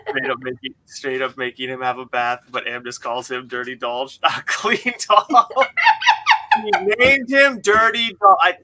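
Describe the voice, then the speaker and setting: A man's voice: mid-range voice, measured pace, sharp tone, poor audio. A young man hosting a casual livestream, reading out comments and bantering jokily, laughing through his words and swearing. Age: young adult. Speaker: author hosting a read-along livestream. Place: indoor room at home.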